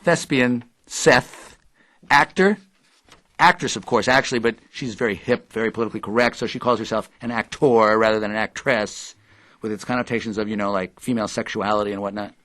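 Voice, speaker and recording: An actor playing an earnest, literal-minded, bookish radio interviewer in an audio drama. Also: male voice, on the low side, steady tone, brisk, a bit bright, lightly acted. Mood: pedantic. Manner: pedantic, earnest.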